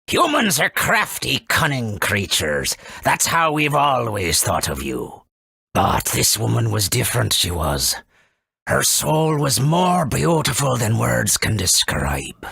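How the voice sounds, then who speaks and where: A man voicing a folksy, cautious elder of a furry forest tribe in a video game, with a gravelly, husky voice and dramatic storytelling manner. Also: medium pitch, sharp tone, no echo, clean studio sound, exaggerated voice. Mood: wistful storytelling. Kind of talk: video game character acting.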